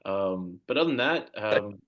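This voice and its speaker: Male host, lowish voice, casual and filler-heavy, runs a coaches' video call. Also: lively intonation, a little slow, muffled recording. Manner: chatty, lots of fillers, warmly thanks others. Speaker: club coach hosting the coach introductions.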